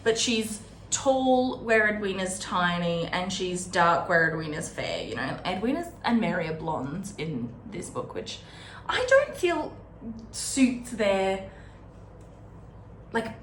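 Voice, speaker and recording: A woman's voice: medium pitch, a bit bright. A woman hosting a casual, chatty book readalong, retelling the story informally and paraphrasing dialogue in a conversational way. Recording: roomy echo with faint background noise.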